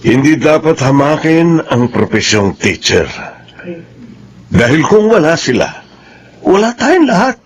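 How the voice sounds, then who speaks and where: A man's voice: on the high side, big pitch swings, a little slow, long pauses, husky, exaggerated voice. An actor playing a proud, supportive middle-aged father with an emphatic, heartfelt manner in a Filipino radio drama. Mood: earnest, proud.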